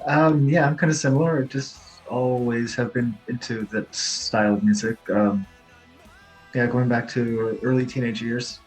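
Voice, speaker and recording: A man in a band, a guest who gives brief, low-key answers in a casual interview. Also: steady tone, moderate pace, soft background music, poor audio.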